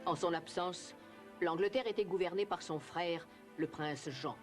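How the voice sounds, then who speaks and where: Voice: mid-range voice, measured pace. Storybook narrator over music. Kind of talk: scripted children's storytelling narration. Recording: clear music bed under narration.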